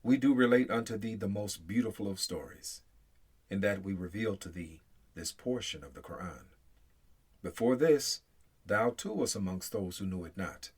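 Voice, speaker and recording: A man reading scripture aloud in English in a solemn, measured way, with deliberate phrases that open with emphasis and fall away at the end. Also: lowish voice, lively intonation, a little mellow, breathy edge. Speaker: scripture reader.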